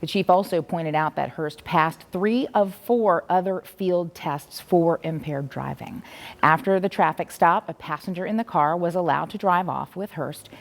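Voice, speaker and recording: A woman reads the evening news in a low, measured voice. Her formal delivery rings with a long reverb, as if from a reverberant studio. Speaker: news anchor. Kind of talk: read news copy.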